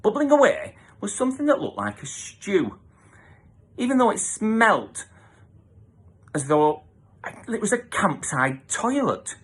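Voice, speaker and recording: A man's voice: sits high, big pitch swings, slow pace, pauses often, fairly bright tone, breathy edge. A man reading a children's story aloud as a theatrical narrator, with emphatic sound-effect words. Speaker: storybook reader.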